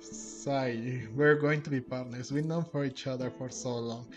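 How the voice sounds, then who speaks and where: A man's voice: medium pitch, steady tone, unhurried. A man streaming a video game, commenting casually and reading in-game text aloud. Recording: game music faintly behind.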